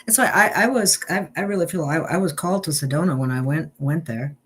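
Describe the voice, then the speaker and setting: A woman hosting a casual conversation, speaking haltingly with frequent pauses and restarts. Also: deep voice, fairly bright tone, a little hiss or hum. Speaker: host. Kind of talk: casual chat.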